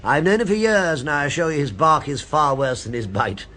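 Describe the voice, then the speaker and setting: An actor playing a genial longtime regular of a restaurant in a radio drama, jovial and teasing. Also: male voice, a little high, brisk, pushed and bright.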